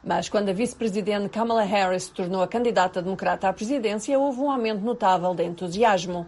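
A woman reading a news report in an even, measured way. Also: mid-range voice.

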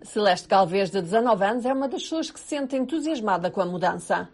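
A woman reading a news report in an even, measured way. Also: mid-range voice.

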